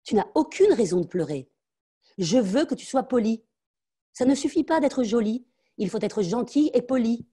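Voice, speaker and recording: A woman reading aloud and voicing a strict, angry mother who scolds her little daughter in a sharp, insistent tone. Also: a little high, lively intonation, brisk, hardly any pauses, husky, pitched above the performer's own voice. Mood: scolding.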